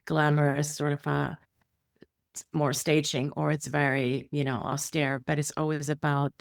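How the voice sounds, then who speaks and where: Low-voiced middle-aged woman from Iceland. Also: level tone, normal speed, gravelly, dry recording, studio quality. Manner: rambling, many fillers and restarts. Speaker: guest. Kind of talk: conversational interview storytelling.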